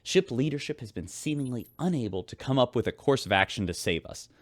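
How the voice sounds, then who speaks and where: A man's voice: medium pitch, animated, a little fast, lightly acted. An actor playing a spaceship radio host, announcing shipboard news like a showman in a sci-fi drama. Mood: dramatic reporting.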